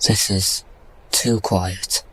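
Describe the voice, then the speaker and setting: A man's voice: low-pitched, steady tone, drawn-out words, noisy background. A man playing an earnest, plain-spoken young titan prince in a fantasy audio drama. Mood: uneasy.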